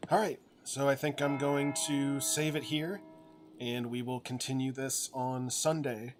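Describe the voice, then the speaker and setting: A man's voice: medium pitch, steady tone, a little slow, faint music behind. A man hosting a gaming channel, giving casual playthrough commentary.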